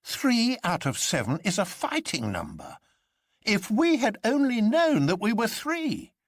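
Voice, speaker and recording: Storybook hero, young man played by a male reader: reckless, boisterous, declamatory, pitched up with big swoops. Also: wildly swooping pitch, unhurried, no echo, clean studio sound, full character voice. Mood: impatient excitement. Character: excitable, reckless young man sworn to defy fear. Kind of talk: dramatic storybook reading.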